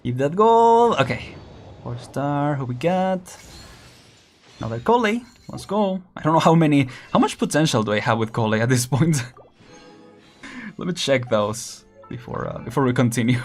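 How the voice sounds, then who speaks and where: A man's voice: fairly high pitch, sing-song, moderate pace, faint music behind. A young man casually commenting while streaming a game, chatty and laughing often, counting down as he plays. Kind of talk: casual live gameplay commentary.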